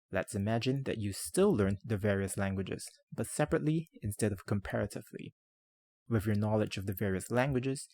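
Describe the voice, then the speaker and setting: A man who speaks Chinese and English natively, narrating a scripted educational video in a measured, articulate, formal way. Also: on the low side, vocal fry, darkish tone, husky. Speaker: language-learning video creator.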